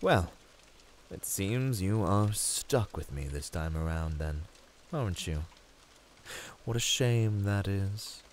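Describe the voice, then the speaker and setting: A man's voice: deep voice, animated, takes it slowly, slight background noise. A man playing a smug, domineering demon with a British accent, teasing and purring theatrically in a flirtatious audio roleplay. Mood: taunting.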